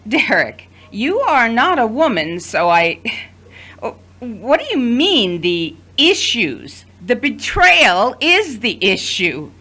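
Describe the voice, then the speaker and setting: A woman's voice: a little high, sing-song, slow pace, pushed and bright, heavily acted. A woman acting in an audio drama as a middle-aged mother, motherly and loving with a dry, hesitant manner. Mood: dryly exasperated. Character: worried, dryly loving middle-aged mother of grown children.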